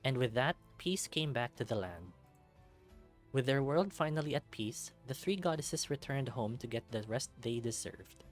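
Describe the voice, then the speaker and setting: Man reading a story aloud over music. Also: medium pitch. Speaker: let's-play narrator of a visual novel. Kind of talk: dramatic read-aloud storytelling with casual commentary. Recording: music under the voice.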